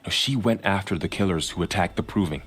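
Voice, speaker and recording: A solemn warrior, very low voice, earnest; game cutscene. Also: male voice, fast, husky. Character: grave young warrior guarding a gate. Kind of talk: video game cutscene acting.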